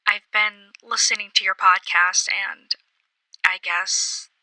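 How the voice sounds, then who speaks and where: A woman's voice: medium pitch, steady tone, takes it slowly, pushed and bright, no room sound, poor audio. A woman playing a skeptical young college student, giving a hesitant, confiding account in an audio drama. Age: young adult.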